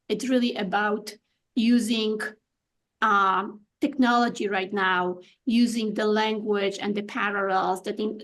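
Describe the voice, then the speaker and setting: A woman from Poland with a Polish accent, a guest on a podcast interview, speaking thoughtfully with many fillers and restarts. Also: medium pitch. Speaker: guest artist.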